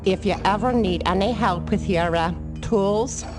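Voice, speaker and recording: A woman's voice: mid-range voice, a little slow, over music, lightly acted. A woman playing a bold, flirty female dwarf shield-maker in a cartoon adventure game, coy and suggestive. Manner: coy, suggestive, sugary pet names.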